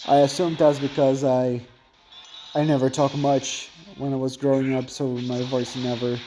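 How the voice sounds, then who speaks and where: A man's voice: mid-range voice, level tone, moderate pace, dark tone, soft background music, rough recording. A young man with a thin voice chatting casually and rambling while commentating on his gameplay, often correcting himself mid-sentence.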